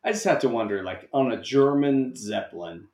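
Man, podcast co-host, wisecracking, laughs a lot, animated pitch, echoey room. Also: normal speed. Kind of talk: casual movie chat.

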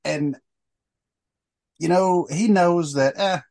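A man's voice: sits high, slow, vocal fry, darkish tone. A middle-aged man hosting a casual podcast chat, rambling with many fillers and restarts. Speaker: podcast host.

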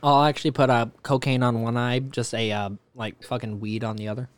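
A man's voice: mid-range voice, little pitch movement, brisk, clear, resonant tone, no echo. A man co-hosting a podcast, wisecracking with crude humor. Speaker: podcast co-host. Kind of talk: casual chat.

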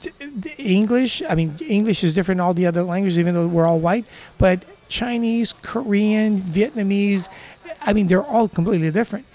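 High-voiced enthusiastic man from Brazil. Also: brisk, darkish tone. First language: Portuguese. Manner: enthusiastic, laughs often, surfer slang. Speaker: guest. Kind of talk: casual interview chat.

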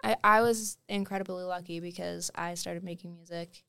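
A woman's voice: lowish voice, steady tone, moderate pace, creaky, sharp tone, clean tone. A young woman from Wales raised in Canada, a musician guest chatting casually on a podcast, full of fillers and trailing phrases.

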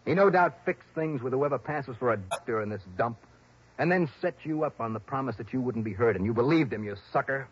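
A man's voice: mid-range voice, animated, fairly quick, breathy edge. An actor playing a tough, wry private investigator in a 1960s radio detective drama, needling others and narrating his case. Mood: needling, cool. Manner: hard-boiled, needling. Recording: dull old radio recording, music behind.

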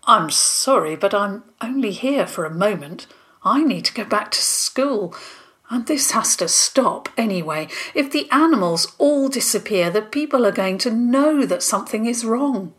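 A woman doing an earnest, hurried schoolboy. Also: medium pitch, expressive, a bit bright. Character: anxious young schoolboy.

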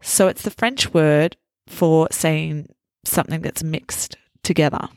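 A woman hosting a podcast, slowly and clearly explaining English phrases to learners. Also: deep voice, darkish tone, no echo. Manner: slow, clear, teacherly explanations. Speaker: podcast host.

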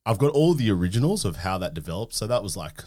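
A man's voice: lowish voice, sing-song, fast talker. A man hosting a podcast, chatting casually and swearing freely. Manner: loud and sing-song reading a sponsor ad, sweary and laid-back in chat. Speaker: podcast host. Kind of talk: casual chat. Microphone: podcast studio mic.

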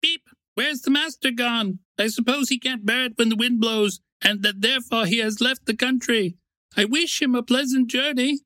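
A man's voice: very high voice, moderate pace, sharp tone, no room sound, pitched above the performer's own voice. A man voicing a small, cheeky, carefree sparrow in a storybook, with a flippant, chattering manner.

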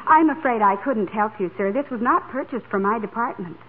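An actress playing a prim, overly polite young store clerk who is coolly unhelpful. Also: female voice, a little high, animated, a little fast, a crowd in the background, close to an everyday voice. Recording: tinny old radio broadcast with hiss.

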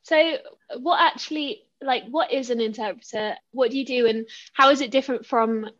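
A woman hosts a podcast with a slightly high voice. She reads her introduction in an upbeat way, then asks friendly questions in casual conversation. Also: moderate pace, a bit bright, muffled recording. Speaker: podcast host. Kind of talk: scripted intro and casual interviewing.

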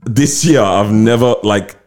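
Casting: young male podcast host, very low voice, relaxed and slangy. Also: a little slow. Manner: laid-back slangy talk, says bruv and fam. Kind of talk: casual chat.

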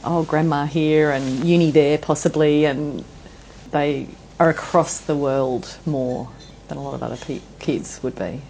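A woman's voice: deep voice, a little slow, darkish tone, slight background noise, poor audio. A woman hosting an interview, asking questions in a friendly, encouraging way. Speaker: podcast host. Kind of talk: interview chat.

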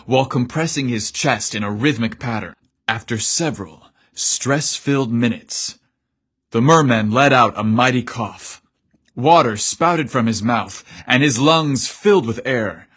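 Husky-voiced man narrating a story. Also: mid-range voice, fairly bright tone. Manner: measured, descriptive storytelling.

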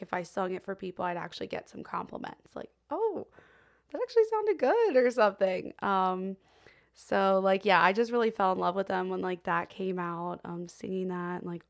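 A woman's voice: medium pitch, normal speed. A young woman co-hosting a podcast, talking casually with lots of fillers and frequent laughs. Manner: chatty, many fillers like "um" and "like", laughs often. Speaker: podcast co-host. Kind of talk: casual chat.

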